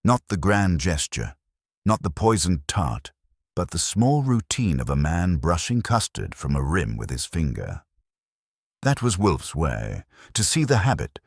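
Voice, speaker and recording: Male narrator for detective fiction: very low voice, lively intonation, measured and wry, dry close sound. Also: no room sound. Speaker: audiobook narrator. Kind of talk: first-person detective story narration.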